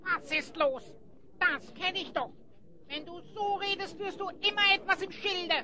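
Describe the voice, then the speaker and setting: A woman voicing a small, cheeky, hungry animal sidekick in a children's audio drama, squeaky and pert. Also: fairly even intonation, measured pace, bright, forceful tone, full character voice. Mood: curious, excited.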